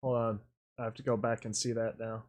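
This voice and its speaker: A woman's voice: very deep voice, flat delivery, fairly quick, a little mellow. A woman hosting a reaction video with casual commentary, faint music behind.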